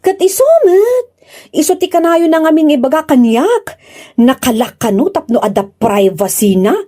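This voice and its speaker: An actress playing a sharp-tongued, indignant young Filipino woman in an Ilocano comedy radio drama. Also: high-pitched, lively intonation, normal speed. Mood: exasperated, complaining.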